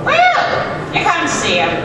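Brusque, huffy housekeeper played by a woman, animated delivery, distant in a very echoey room. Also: sits high, slow, heavily acted. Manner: brusque, indignant. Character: curt, huffy female housekeeper, protective and scolding. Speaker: amateur radio theatre actor. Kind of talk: old-time radio drama acting before a live audience. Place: live stage in an auditorium with audience. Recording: echoey noisy hall from far off.

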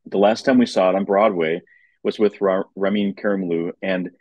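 A man's voice: low voice, fairly even intonation, normal speed, a little mellow, no echo. A man chatting casually as a guest commentator on an arts podcast. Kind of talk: casual chat.

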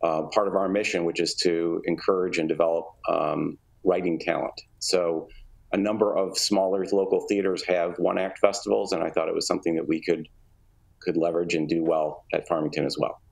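A middle-aged man giving halting, thoughtful interview answers as a guest on a video call. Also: sits low, flat delivery, creaky, a little mellow, low-quality recording. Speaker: guest, theater artistic director. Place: room at home.

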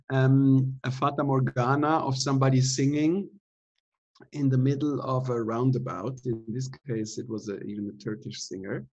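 Man giving a relaxed talk over a video call. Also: medium pitch, lo-fi sound. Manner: relaxed, explaining with many fillers. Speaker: theatre director giving an artist talk. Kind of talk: casual online talk. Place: indoor room at home. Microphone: laptop webcam video call.

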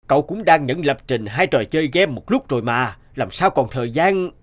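A man's voice: medium pitch, expressive, moderate pace, natural voice. A man voicing a concerned, reluctant middle-aged office boss in a cartoon drama. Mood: doubtful.

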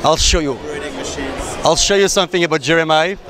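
A man's voice: a little high, fairly quick, a bit bright, a little hiss or hum. A man in his thirties from Belgium, with a Belgian accent, giving an eager, persuasive product demo on a trade show floor into a handheld mic. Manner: eager, persuasive, slightly non-native phrasing. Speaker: exhibitor demonstrating a photo booth. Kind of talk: energetic product demo and sales pitch. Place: crowded trade show hall, indoors. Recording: crowd chatter from a busy expo floor.

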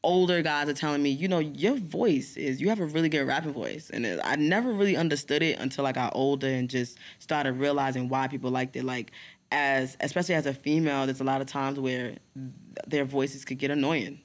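A young woman with a New York accent and a deep, strong voice, talking bluntly in street slang as a guest in a podcast studio. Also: brisk. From New York City. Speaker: battle rapper guest. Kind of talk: casual interview chat. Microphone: studio mic on boom arm, headphones.

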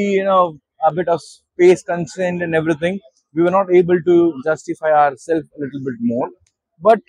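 Indian male exec, 30s, husky higher voice, hesitant product walkthrough at a busy expo stand. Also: dry recording, low-quality recording. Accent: Indian English. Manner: hesitant, with many fillers and restarts. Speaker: managing director at a trade show. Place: busy exhibition hall stall.

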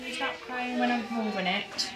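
A woman's voice: on the high side, fairly even intonation, moderate pace, heavy background noise. A woman nurse with a Yorkshire accent, chatty and sing-song as she checks a toddler in a hospital cubicle.

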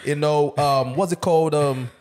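A man co-hosting a casual chat, animated and heavy on slang. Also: on the high side, moderate pace, a crowd in the background. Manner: animated, slangy, lots of "bro" and "you get me". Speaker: podcast co-host.

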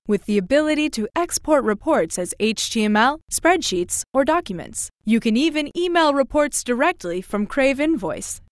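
A woman narrates a product demo from a script. Her voice sits a little high. The recording is close and dry, with very little room around the words. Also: dry recording.

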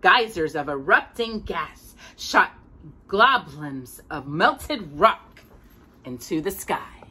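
Woman, middle-aged, storytime read-aloud, slow and deliberate, highly varied pitch, expressive emphasis. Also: husky. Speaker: host of a children's storytime. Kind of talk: animated read-aloud of a picture book to a child. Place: small indoor room with bookshelves.

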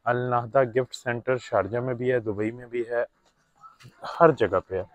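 A man's voice: mid-range voice, fairly even intonation, moderate pace, no room sound, highs cut off. A young man, chatting casually to viewers as he films himself shopping in a big indoor discount store on a handheld phone. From Pakistan. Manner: chatty, mixes in English words, talks to viewers as brothers. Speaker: vlogger. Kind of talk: casual shopping vlog narration. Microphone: phone held by the filming hand.

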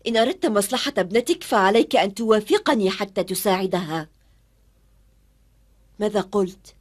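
A woman's voice: mid-range voice, animated, moderate pace, lightly acted. A woman playing a strict, determined young female teacher in a dubbed cartoon, speaking firmly and earnestly. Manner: firm, earnest, commanding. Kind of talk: Arabic-dubbed cartoon acting.

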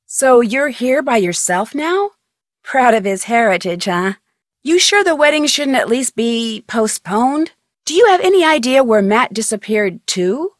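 Young female sleuth for adventure game: inquisitive, polite, slightly high voice, dry studio sound. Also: sing-song, measured pace, dry recording. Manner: inquisitive, clear-spoken questioning. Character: curious, polite young female amateur detective. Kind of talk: video game dialogue.

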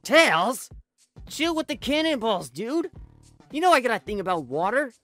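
A woman's voice: on the high side, sing-song, normal speed, fairly bright tone, soft background music. A woman voicing a cocky, exasperated young male cartoon hedgehog hero with blunt, slangy delivery. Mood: annoyed. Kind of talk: cartoon comic dub acting.

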